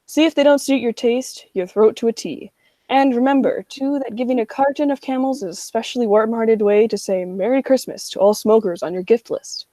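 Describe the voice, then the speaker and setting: A woman's voice: a little high, brisk, warm tone, dry recording, lightly acted. A woman playing a polished, upbeat 1940s radio announcer reading scripted sponsor messages. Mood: polished, promotional.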